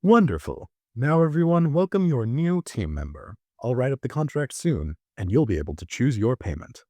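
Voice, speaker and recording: A man voicing a wry, instructive train conductor in an audio drama, speaking in a measured, mock-genteel manner. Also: medium pitch, sing-song, a little mellow, no room sound. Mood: cheerful, brisk. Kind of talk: audio-drama character dialogue.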